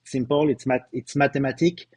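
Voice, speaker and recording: A man's voice: medium pitch, steady tone, moderate pace, a little mellow, husky, no room sound, clean studio sound. A middle-aged man with a slight French accent, a company co-founder giving a live results presentation, emphatic, with many fillers and repeated words.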